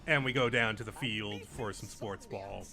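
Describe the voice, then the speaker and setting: A man's voice: lowish voice, moderate pace, vocal fry. A man giving casual commentary as he hosts a video game playthrough. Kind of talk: casual let's play commentary.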